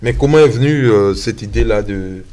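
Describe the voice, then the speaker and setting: A man with a slightly low voice interviews a guest for television. He asks his questions haltingly, filling the gaps with hesitation sounds. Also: a little mellow. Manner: hesitant, many filler sounds.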